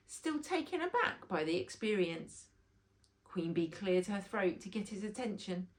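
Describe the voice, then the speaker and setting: A woman reading a children's story aloud as an expressive narrator. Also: lowish voice.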